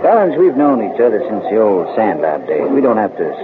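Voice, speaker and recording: A blunt inspector who barks orders and questions in a 1940s radio mystery. His delivery is animated. The recording is thin, with heavy noise. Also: on the low side, a little fast. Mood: pressing. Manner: blunt, interrogating. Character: brusque, commanding police inspector, a grown detective's father. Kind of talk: 1940s radio drama acting. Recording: very noisy, tinny old radio broadcast.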